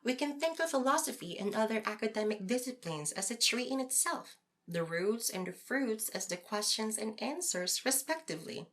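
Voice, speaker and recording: A woman playing a calm know-it-all philosopher who lectures a friend in formal, measured wording in a podcast audio drama. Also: fairly high pitch. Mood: explaining.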